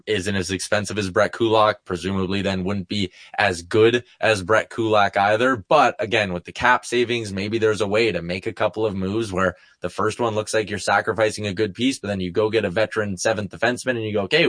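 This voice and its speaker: Male sports talk regular, lowish voice, conversational delivery, dry sound. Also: fairly even intonation, fairly quick, hardly any pauses, a bit bright, dry recording. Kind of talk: casual sports chat.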